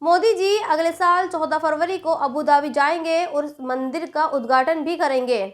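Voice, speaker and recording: A woman's voice: sits high, moderate pace. A woman presenting scripted political commentary, explaining things directly to the viewer. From India.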